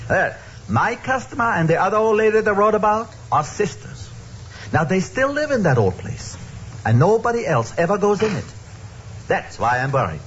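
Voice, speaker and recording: An actor playing a fretful, kindly older deli owner with a New York Yiddish accent, pleading and emphatic, in an old radio drama. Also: male voice, sits high, sing-song, normal speed, fairly bright tone. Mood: worried. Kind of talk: old-time radio acting. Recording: muffled, noisy old radio recording.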